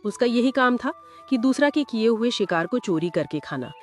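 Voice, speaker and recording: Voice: mid-range voice, animated, moderate pace. A narrator telling a scripted moral story for a cartoon. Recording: loud music under the voice.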